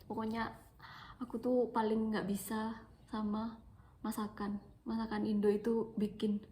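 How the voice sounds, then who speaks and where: A woman's voice: fairly high pitch, little pitch movement, unhurried, warm tone. A young woman from Malang with an East Javanese accent, chatting casually into her phone for a personal vlog and repeating words for emphasis. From Malang, East Java, Indonesia. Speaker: vlogger.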